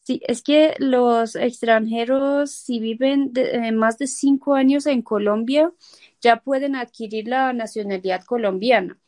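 A woman's voice: on the high side, fairly even intonation, measured pace, darkish tone, no room sound. A woman from Iran whose first language is Persian, a guest in a casual interview, talking hesitantly with many fillers and small laughs.

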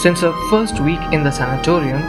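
A man's voice: a little high, animated, brisk, loud music behind the voice. A man narrating a scripted story for an audio play.

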